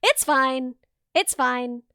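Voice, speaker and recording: A woman's voice: high voice, very slow, sharp tone, smooth, dry recording, studio quality. A young woman hosting a live soldering stream, bubbly and laughing often as she explains her work step by step.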